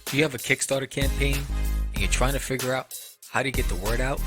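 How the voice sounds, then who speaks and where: A woman reads an upbeat scripted promo in a very low voice. Loud music plays under her the whole time. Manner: upbeat sales pitch. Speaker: ad announcer.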